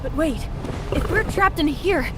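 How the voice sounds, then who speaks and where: A woman playing a quick-witted, confident young adventurer in a video game, playful and given to reasoning aloud. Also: sits high, animated. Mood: determined, thoughtful. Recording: music behind the voice.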